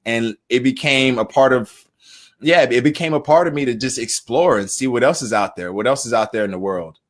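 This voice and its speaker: An American man in his thirties telling his personal story as an interview guest, earnest and halting, often repeating words. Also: mid-range voice. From United States. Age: 30s.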